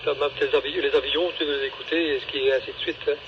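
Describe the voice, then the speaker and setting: A man's voice: fairly high pitch, fairly even intonation, fast. A man with a Belgian French accent chatting casually and colloquially over a two-way radio. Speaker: amateur radio hobbyist. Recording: dull narrowband radio link with noise.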